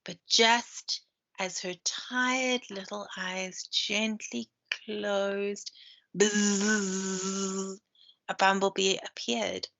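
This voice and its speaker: A woman's voice: medium pitch, flat delivery, extremely slow, vocal fry, fairly bright tone. A woman teacher doing a read-aloud story time for children, chatting and explaining the pictures as she goes, over a computer microphone. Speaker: primary school teacher. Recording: dull lo-fi screen-share recording.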